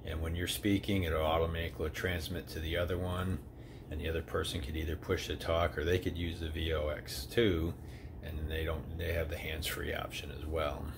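Middle-aged man, deep voice, laid-back home reviewer, steady hiss behind him. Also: a little fast. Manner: easygoing, rambling with many "uh"s. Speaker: product reviewer. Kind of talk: casual product review. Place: indoors at home.

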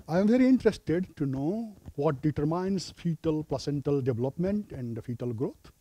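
A middle-aged man from Nepal giving an academic lecture as a professor of obstetrics, speaking in a measured, hesitant way with fillers. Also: fairly high pitch, animated, dark tone, breathy edge, rough recording. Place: lecture room with a projector.

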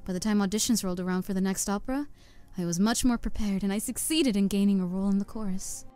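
A woman's voice: mid-range voice, fast talker, dark tone, clear, resonant tone, lightly acted. A woman voicing an earnest young opera chorus singer who reflects on her past, in a fan audio drama with faint music behind.

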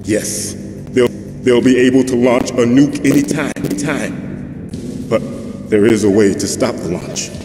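A man's voice: mid-range voice, unhurried, long pauses, background noise, natural voice. A man playing a weary, grave middle-aged captive official in a video game drama, explaining things earnestly and urgently. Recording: echoey reverberant room under loud music. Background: loud game music.

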